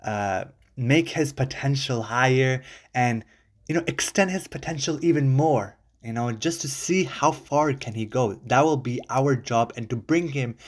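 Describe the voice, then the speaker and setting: A man talking casually as a football fan vlogger, enthusiastic, rambling with many fillers and restarts. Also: mid-range voice.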